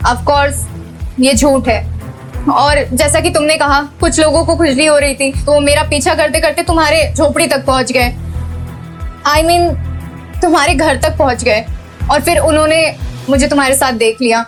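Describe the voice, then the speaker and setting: A woman's voice: high-pitched, moderate pace, soft background music, close to an everyday voice. An actress playing a haughty, impatient rich young woman in an audio drama, speaking in a curt, condescending way. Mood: explaining, condescending. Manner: curt, condescending, mixes in English.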